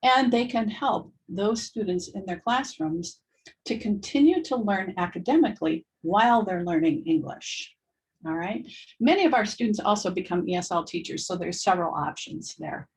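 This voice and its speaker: A middle-aged woman, an education professor, gives an informal, chatty presentation to prospective students, laughing often and filling pauses with "um". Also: medium pitch, rough recording. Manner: animated and chatty, laughs often, many ums.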